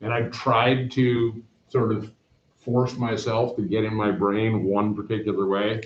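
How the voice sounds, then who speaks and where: A middle-aged man from Canada rambles through a casual aside to his class, his voice a touch low. He sounds roomy and dull, picked up from across the classroom by a camera. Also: little pitch movement, measured pace, a little hiss or hum. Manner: rambling, self-deprecating, chatty. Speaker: math teacher. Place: classroom with whiteboard. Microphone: camera across the room.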